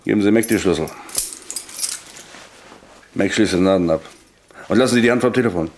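An actor playing a bullying gangster in a radio crime drama, taunting and threatening with mock friendliness. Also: male voice, fairly low pitch, a little fast, slight background noise, close to an everyday voice. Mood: quietly threatening.

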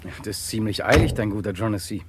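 A man's voice: lowish voice, animated, fast, natural voice. An actor playing a breezy man from a wedding party in a crime radio play. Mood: teasing, casual. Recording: loud car noise behind the voice.

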